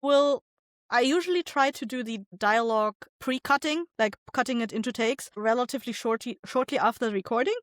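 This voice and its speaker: A woman's voice: on the high side, a little fast, no echo, studio quality. A woman speaking as an interview guest, explaining her work as a director in a conversational style.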